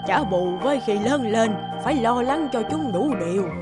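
A woman's voice: a little high, animated, moderate pace, over loud music, close to an everyday voice. Woman voicing a fond, tired old woman.

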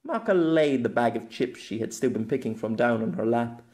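Story narrator, medium pitch, animated intonation, scripted audiobook reading. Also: normal speed.

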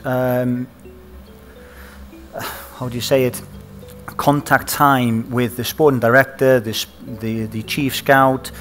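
Icelandic man, thirties, flat delivery, fillers and restarts, headset mic, big stage. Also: medium pitch. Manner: hesitant, many fillers and restarts. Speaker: panelist, former footballer. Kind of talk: conference panel talk. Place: large conference stage.

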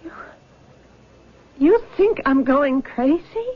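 A woman's voice: high-pitched, lively intonation, slow. An actress playing an anguished young nurse in a 1970s radio drama, her voice trembling and tearful. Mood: distraught. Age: young adult. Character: anguished young woman, a nurse, haunted and fragile. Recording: dull old radio broadcast.

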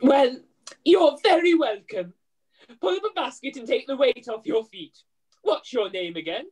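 A woman's voice: sits high, expressive, a little slow, a little mellow, husky, heavily acted, poor audio. A woman playing a hard-up, cheeky pantomime mother with broad comic exclamations, in an amateur script reading over a video call. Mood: cheeky, delighted. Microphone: computer mic on video call.

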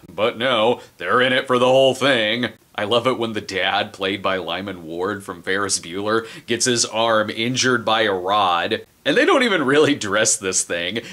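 Bright-voiced sarcastic man reviewing movies. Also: mid-range voice. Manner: sarcastic, animated, mimics characters in asides. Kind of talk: scripted comedic movie review. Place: small indoor room in front of a video shelf.

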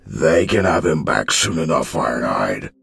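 A man playing a solemn robot leader with an extremely deep, rough, gravelly voice. He speaks slowly and formally, his pitch moving a lot with earnest feeling. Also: big pitch swings, fairly bright tone, heavily acted. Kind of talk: fan comic dub.

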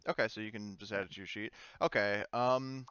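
A man's voice: lowish voice, steady tone, fairly quick, sharp tone, clear, resonant tone, no echo, dull audio. A man running a tabletop roleplaying game as game master, chatting casually with players over a voice call.

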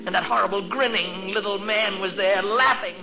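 An American man playing an excitable teenage boy in a 1940s radio comedy, telling his story with breathless mock drama. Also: sits high, normal speed, loud music behind the voice. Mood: melodramatic. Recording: noisy, dull 1940s radio recording.

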